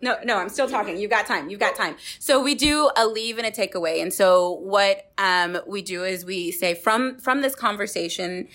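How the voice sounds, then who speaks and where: A woman's voice: mid-range voice, normal speed, few pauses, a bit bright. A woman hosting a casual group podcast, speaking in an encouraging, reflective way as she sums up what her guests say. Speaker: podcast host.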